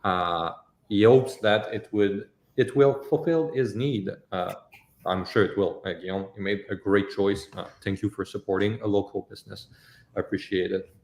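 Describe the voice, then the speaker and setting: Low-voiced French-accented man, echoey room. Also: fairly even intonation, normal speed, darkish tone. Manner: informal, explains step by step. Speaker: company host answering viewer questions. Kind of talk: casual live Q&A, technical explanation.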